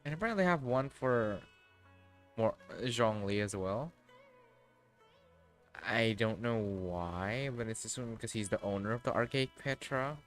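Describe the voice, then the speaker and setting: Male game streamer, lowish voice, unhurried and rambling casual commentary over clear background music. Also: expressive, a bit bright. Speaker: game livestreamer.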